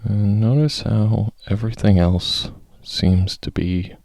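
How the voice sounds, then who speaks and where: A man leading a guided meditation, speaking in a calm, measured, gently instructive manner with long pauses. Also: fairly low pitch, gravelly, no echo, studio quality.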